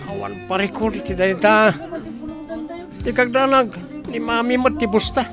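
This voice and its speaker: A man's voice: sits high, a little slow, music in the background. A man with a northern Philippine accent acting a jealous, grumbling young villager in a comedy radio drama. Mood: aggrieved.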